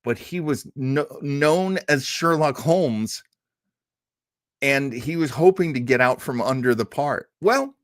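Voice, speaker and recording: A man hosting a podcast, talking informally and haltingly to his audience in a chatty, self-deprecating way. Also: mid-range voice, expressive, no echo, clean studio sound. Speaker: host of an old-time radio podcast. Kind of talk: informal hosted monologue to a live stream audience.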